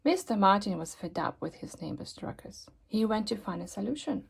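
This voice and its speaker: A woman reading a children's story aloud expressively, with dramatic emphasis and pauses. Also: medium pitch, lively intonation, creaky, slight background noise. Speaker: storybook reader.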